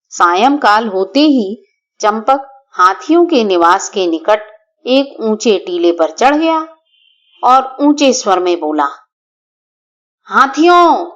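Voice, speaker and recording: A woman's voice: medium pitch, a bit bright, muffled recording. A woman storyteller reading a scripted children's tale in a formal, careful manner.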